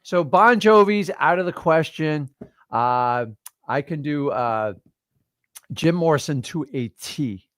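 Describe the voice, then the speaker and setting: A middle-aged man chatting casually as a host, rambling and animated, with lots of fillers and restarts. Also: on the high side, slow, no echo, clean studio sound. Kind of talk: casual chat. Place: studio livestream.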